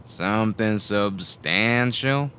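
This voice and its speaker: Slow-talking, surly shopkeeper. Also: male voice, fairly low pitch, clear, resonant tone. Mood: cagey, deadpan. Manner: terse, deadpan. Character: surly, cagey small-town American shopkeeper. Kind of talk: audio drama acting. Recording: faint outdoor ambience behind.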